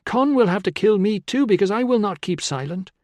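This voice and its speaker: A man's voice: very high voice, animated, normal speed, no echo, voice pushed up. A man voicing a defiant young woman who pleads and challenges urgently in an audiobook story. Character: defiant, pleading young Celtic woman confronting her father.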